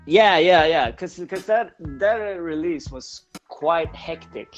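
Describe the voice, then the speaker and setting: A man's voice: fairly high pitch, animated, slow, muffled recording, soft background music. A man from Sweden with a Swedish accent, a native Swedish speaker, talking casually in an interview and often repeating himself as he searches for words. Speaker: podcast guest, punk band singer.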